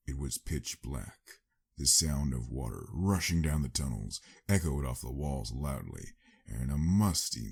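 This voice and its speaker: A man's voice: extremely low pitch, darkish tone, slightly rough. A man giving a dramatic scripted narration of a story.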